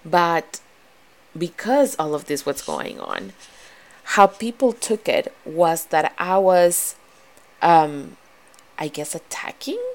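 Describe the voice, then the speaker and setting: A young woman from Ecuador with a strong Ecuadorian Spanish accent, talking earnestly to her viewers, pausing and restarting as she goes. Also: low-pitched. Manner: earnest, frequent restarts and fillers, grows animated. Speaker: vlogger. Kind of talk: personal talk to camera.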